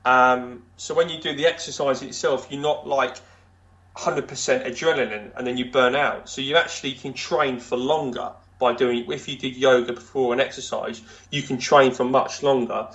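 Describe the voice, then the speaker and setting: A man with a deep voice, a podcast guest chatting casually, talking in loose, rambling sentences full of fillers and restarts. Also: fairly even intonation, normal speed, fairly bright tone, dull audio. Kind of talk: casual interview chat.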